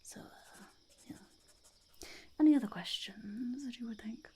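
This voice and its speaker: A woman voicing a scheming, self-important goblin in a whispery ASMR roleplay, halting and mock-formal as the goblin interviews would-be henchmen. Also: fairly high pitch, a little mellow, a little hiss or hum. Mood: evasive, scheming. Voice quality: whispery, breathy, creaky.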